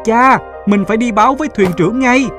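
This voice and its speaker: A man voicing an earnest, emotional young son in an animated fairy tale. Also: extremely high pitch, brisk, music in the background. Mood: alarmed.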